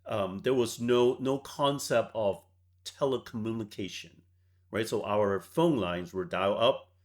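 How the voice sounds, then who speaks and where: A man in his forties who grew up in Hong Kong, a software founder telling stories in a measured way as an interview guest. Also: lowish voice. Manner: measured, tags points with "right?". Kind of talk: reflective storytelling interview.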